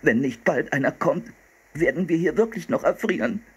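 A man's voice: a little high, lively intonation, fairly quick, slightly rough, voice pushed up. A man voicing an anxious, grumbling, cautious young boy in a children's storybook. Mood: whining.

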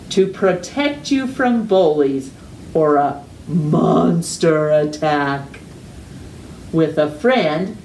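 A middle-aged woman reading a children's picture book aloud with deliberate, exaggerated storytime delivery and drawn-out words. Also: mid-range voice, dark tone. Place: living room at home. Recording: roomy echo, distant mic, faint noise.

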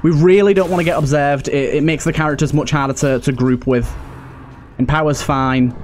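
A man's voice: a little high, steady tone, fairly quick, soft background music. A man giving casual let's play commentary, thinking aloud and repeating phrases for emphasis. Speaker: gaming video host.

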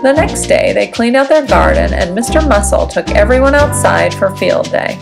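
A woman narrates a children's storybook expressively. Her mid-pitched voice has noticeable creak, and music plays clearly behind her. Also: animated, normal speed. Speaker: storybook reader. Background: background music.

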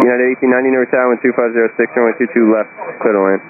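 A man's voice: medium pitch, steady tone, very fast. A man working as a tower controller, giving polite, procedural air traffic instructions over radio. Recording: narrowband radio, faint static.

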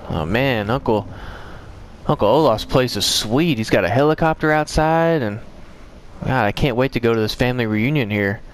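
A man playing an excitable, boastful young cousin who gushes in slang in a game roleplay. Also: medium pitch, moderate pace, a little hiss or hum, natural voice. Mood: awed. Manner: gushing, slangy.

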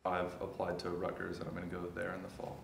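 Very low, flat, gravelly young man. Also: quick pace, slight background noise. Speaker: interviewee. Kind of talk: documentary interview answers. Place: studio with plain backdrop.

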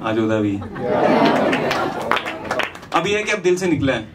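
A male stand-up comedian delivers rhymed comic storytelling at a medium pitch. He breaks the verse with chatty asides. The stage recording is noisy and sounds some way from the mic. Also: very animated, a little slow, far from the mic, a loud crowd. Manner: conversational asides between recited rhyming verses. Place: small indoor comedy venue on stage. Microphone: handheld microphone.

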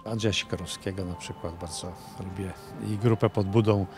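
An older man with a fairly low voice answers questions off the cuff as a passer-by. He is recorded outdoors on a handheld microphone, with music underneath. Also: steady tone, fairly quick. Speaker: passer-by in street interview. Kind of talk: off-the-cuff vox pop answers. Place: outdoor city pedestrian street. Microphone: handheld interview microphone. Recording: music laid under street interview.

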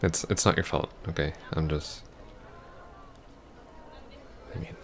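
A man playing an awkward, apologetic young ex-boyfriend in an ASMR roleplay, halting and stammering. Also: very deep voice, steady tone, vocal fry, slight background noise. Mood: hurt, apologetic. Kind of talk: ASMR roleplay, soft intimate acting.

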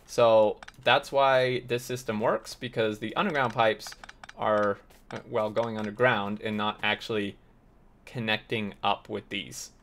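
A slightly hoarse man hosting a game tutorial, explaining step by step with lots of fillers and restarts. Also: lowish voice, steady tone, a little slow, fairly bright tone. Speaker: let's play host. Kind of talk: tutorial gameplay commentary.

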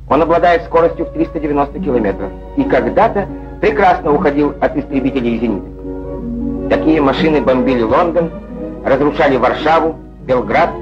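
A man's voice: fairly high pitch, dull audio. A man narrating a scripted 1940s Soviet newsreel in a declamatory, wry manner, on an old film soundtrack. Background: music.

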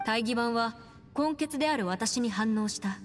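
A woman acts an assured young female scholar in a game cutscene. Her fairly high voice explains with crisp confidence, in long reverb with light music behind. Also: normal speed, close to an everyday voice. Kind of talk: Japanese anime-style game voice acting.

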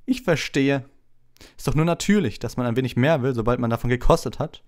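A man's voice: medium pitch, fairly quick, voice pushed up, lightly acted. A man voicing a vain, patronizing celebrity wizard professor in a gushing, condescending manner for an audiobook. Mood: smug, patronizing. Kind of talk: audiobook character voice.

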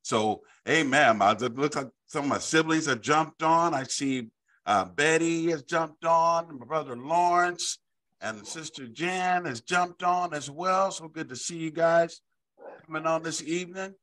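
Bright-voiced middle-aged man hosting online. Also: a little high. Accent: Oklahoma. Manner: halting, repeats words, frequent amens. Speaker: bishop hosting a weekly online Bible study. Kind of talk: casual livestream hosting. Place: indoor office, room with a framed picture. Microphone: studio microphone in front of him on a video call.

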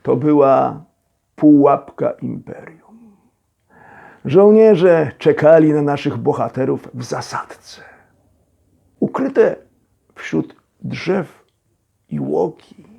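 A man narrates a storybook in a gravelly, husky voice. His delivery is slow and theatrical, with suspenseful pauses between phrases. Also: fairly high pitch, warm tone. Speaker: storyteller, actor reading a children's audio story. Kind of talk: dramatic scripted storybook narration.

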